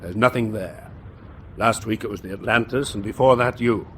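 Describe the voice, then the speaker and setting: A man's voice: on the low side, normal speed, a little hiss or hum, natural voice. An actor playing a worldly, genial German naval captain in a wartime radio drama, urbane and expansive in his talk. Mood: serious, confiding.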